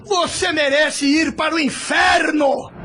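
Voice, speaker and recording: Guy acting angry brazilian soldier yelling in an audio drama, spiteful, noisy background. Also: very high voice, steady tone, slow pace. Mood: furious, spiteful. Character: furious, hateful Brazilian soldier, cruel rival in love.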